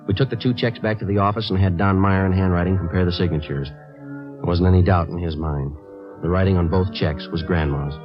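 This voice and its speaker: Male actor as police sergeant, low voice, clipped, deadpan, businesslike, old radio drama, muffled sound. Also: lightly acted. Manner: deadpan, matter-of-fact, polite. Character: terse, businesslike male police sergeant narrating his case. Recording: dull old radio recording, music behind.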